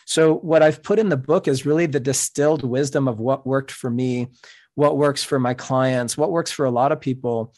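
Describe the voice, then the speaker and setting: A middle-aged man whose first language is Spanish, speaking as a podcast guest in an earnest, measured, explanatory way. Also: mid-range voice, a little mellow. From New York City. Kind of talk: reflective interview talk.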